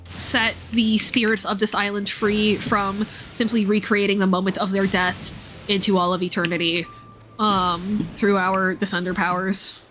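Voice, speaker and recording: A woman livestreaming a video game, talking casually and explaining things in a thoughtful, halting way. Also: mid-range voice, little pitch movement, a bit bright. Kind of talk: casual game stream commentary and read-aloud. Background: music.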